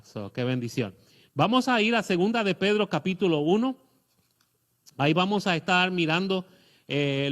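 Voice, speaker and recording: A middle-aged man with a Puerto Rican accent preaching a sermon, pausing often with fillers and restarts. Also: fairly high pitch, fairly bright tone. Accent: Puerto Rican Spanish. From Puerto Rico. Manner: hesitant, many fillers and restarts. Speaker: pastor.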